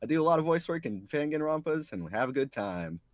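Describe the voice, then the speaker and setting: A man's voice: on the high side, big pitch swings, brisk, no room sound, studio quality. A man who is a voice actor on a panel, introducing himself in a casual way.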